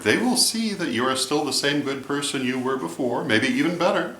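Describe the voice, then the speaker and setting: A man giving advice in a vlog monologue, speaking in a measured, deliberate way with emphatic phrasing. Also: medium pitch, bright, forceful tone. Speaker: vlog host and religious advisor. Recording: roomy, echoey sound.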